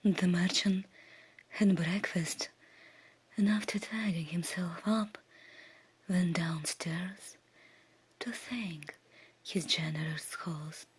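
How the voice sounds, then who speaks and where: A woman tells a bedtime fairy tale in a breathy whisper. Her delivery is very slow and hushed, with soft, soothing phrasing made for falling asleep. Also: fairly low pitch. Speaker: ASMR storyteller.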